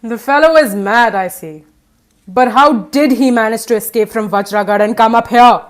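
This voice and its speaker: A stern governor acted by a woman in an audio drama, pitched a little high. The manner is commanding and indignant, that of an official used to giving orders. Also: moderate pace, natural voice. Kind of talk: audio play acting.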